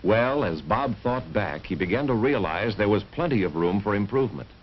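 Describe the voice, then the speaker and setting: A man's voice: lowish voice, animated, normal speed. A man narrating a vintage 1950s educational film in a scripted, dramatic storytelling manner with rhetorical emphasis. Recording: muffled, hissy old film soundtrack.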